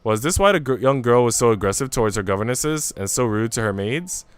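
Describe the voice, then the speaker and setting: A man streaming a visual novel, reading it aloud as expressive narration and making casual asides. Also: medium pitch, brisk, clear, resonant tone. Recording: music playing behind the voice. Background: music.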